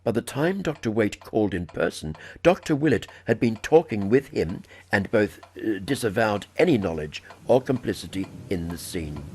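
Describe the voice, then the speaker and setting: A man narrating a literary horror story in a formal, measured, dramatic reading style. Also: on the low side, a little mellow, a little hiss or hum. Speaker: audiobook narrator.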